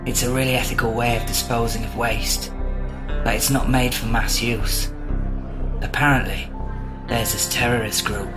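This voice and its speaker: A man's voice: medium pitch, steady tone, moderate pace, natural voice. Actor as a naive delivery man over music. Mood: casual, trusting. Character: naive, easygoing young male delivery worker. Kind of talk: horror audio drama dialogue.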